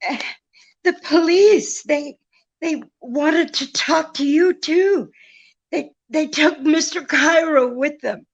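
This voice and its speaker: A woman acting as a coy, evasive radio-play femme fatale. Her voice is high and slow, with halting phrases, on slightly muffled audio. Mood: hesitant, anxious. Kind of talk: old-time radio drama acting. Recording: muffled video-call audio, faint music.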